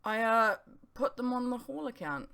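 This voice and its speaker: A brash young male student, acted by a woman narrator in an audiobook. He is exuberant and theatrical, lifted high and full of cheek and melodrama. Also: expressive, moderate pace, gravelly, a bit bright, clean tone. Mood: grudging. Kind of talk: dramatised audiobook dialogue.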